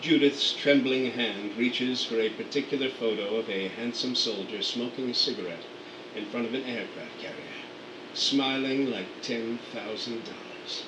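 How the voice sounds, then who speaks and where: A man narrating radio play scene directions in a steady, matter-of-fact way. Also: mid-range voice, normal speed. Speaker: narrator reading stage directions. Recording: roomy, distant mic, air conditioning hum.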